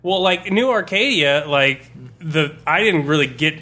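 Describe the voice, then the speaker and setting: A man talking casually as a game master on a podcast panel, in an informal, halting way. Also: fairly high pitch, animated, pushed and bright. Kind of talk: casual chat about running games.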